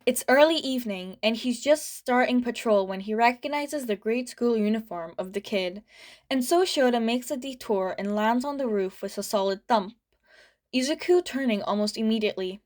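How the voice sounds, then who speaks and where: A woman reading fan fiction aloud as a podfic, narrating in a light, steady way. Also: fairly high pitch, normal speed. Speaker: podfic reader.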